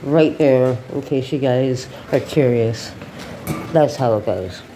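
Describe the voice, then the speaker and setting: A woman's voice: a bass voice, takes it slowly. A woman with a congested cold chatting casually while walking outdoors, rambling and laughing as she tells stories. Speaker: beauty vlogger. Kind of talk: casual walking vlog chat. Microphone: handheld phone camera. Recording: noisy outdoor phone recording.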